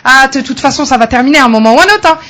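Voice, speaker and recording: A woman's voice: high-pitched, expressive, rapid-fire, bright, forceful tone. A woman who works as a coach, speaking as a guest on a radio talk show in an emphatic, motivational way. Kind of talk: radio interview.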